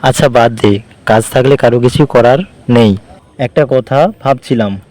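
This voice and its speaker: A man's voice: medium pitch, normal speed, darkish tone, lightly acted. A man voicing an idle, chatty young guy in a cartoon, talking casually as if with a friend. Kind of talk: cartoon dialogue, casual phone chat between friends.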